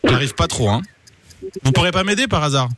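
A man's voice: on the high side, lively intonation, a little fast, fairly bright tone. A man on a radio show making a playful, fake-polite prank call, with a French accent. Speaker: radio co-host.

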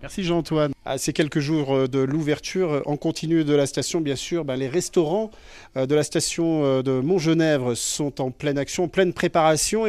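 A man reporting on location, asking questions with many fillers and a lively, loud delivery. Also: a little high, dry recording. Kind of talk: field news report with interviews. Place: indoors, in a restaurant and a chocolate shop.

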